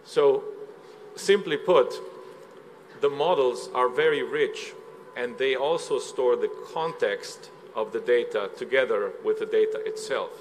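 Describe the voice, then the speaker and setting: A man's voice: medium pitch, a little hiss or hum. Slovenian man giving a conference talk. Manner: measured, explanatory, with frequent "uh" fillers. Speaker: conference speaker. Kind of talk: conference presentation. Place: conference hall.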